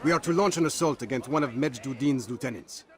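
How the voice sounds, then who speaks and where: An actor playing a frustrated, businesslike assassin field agent in a video game. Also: male voice, mid-range voice, fast talker, close to an everyday voice. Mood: exasperated, businesslike. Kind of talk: video game cutscene acting.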